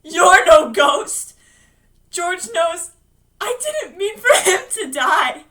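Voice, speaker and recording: A tormented grown man in a horror audiobook, played by a woman. The voice is loud, anguished and pitched up, with a hard bright edge. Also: expressive, very slow, exaggerated voice. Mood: defiant, anguished. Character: tormented grown man facing his dead brother.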